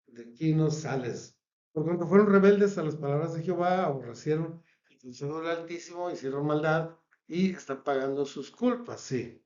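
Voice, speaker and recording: Mexican preacher in an echoey room. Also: male voice, on the high side, moderate pace, darkish tone, muffled recording. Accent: Mexican Spanish. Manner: earnest, repetitive listing cadence. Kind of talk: sermon.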